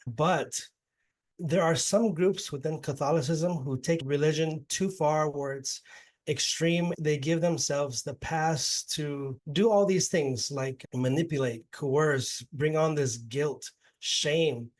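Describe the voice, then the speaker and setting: A man's voice: a little high, slow pace. A man from Los Angeles giving earnest, reflective personal testimony as a webinar panel guest over a video call. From Los Angeles, USA. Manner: earnest, reflective, with fillers and restarts. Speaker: panel guest, former cult member.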